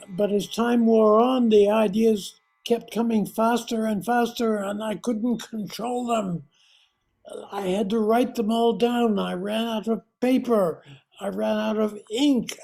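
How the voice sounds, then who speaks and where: Casting: haunted male author played by a woman, anguished and halting, mellow timbre, unhurried, radio drama. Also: medium pitch. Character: desperate tormented male famous writer, haggard and pleading.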